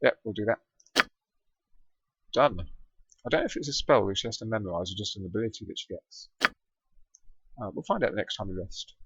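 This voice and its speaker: A man's voice: lowish voice, lively intonation, normal speed, gravelly, pauses often, slightly rough, no room sound, highs cut off. A man giving casual gameplay commentary as a let's play host, thinking aloud. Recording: loud game music behind voice. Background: game music and effects.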